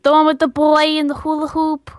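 A woman's voice: sits high, completely flat delivery, a little fast, no echo, studio quality, natural voice. A woman playing a shy little girl on a prank call, hesitantly sounding out words she cannot read. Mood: shy, eager. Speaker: prank caller. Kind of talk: improvised prank phone call.

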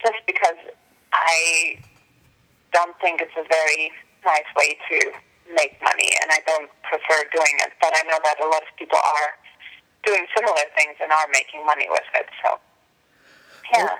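A German-native woman speaks in long, earnest sentences, sensitive and reflective, often pausing on fillers. Her tone is bright and forceful. The sound comes through a phone line. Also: low voice, fairly even intonation. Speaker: actress and tutor, interview guest. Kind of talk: conversational interview answers.

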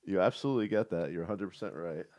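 A man with a very deep voice who talks quickly, joking and bantering his way through a casual chat. He sounds like a co-host among friends. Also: warm tone.

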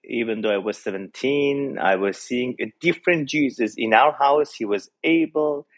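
A man in his forties from Iran with a Persian accent, a pastor telling his personal story earnestly as a podcast guest, pausing often to find his words. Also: mid-range voice. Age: 40s. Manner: earnest and reflective, with frequent hesitations. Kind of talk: personal testimony in an interview.

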